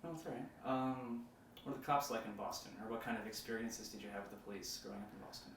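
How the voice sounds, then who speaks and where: A man's voice: fairly low pitch, fast talker, a bit bright. A man from upstate New York interviewing in a loose, rambling way, filling his questions with like. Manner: loose and rambling, says like a lot. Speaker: interviewer and one-man film crew. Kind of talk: casual documentary interview. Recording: echoey, distant, noisy room.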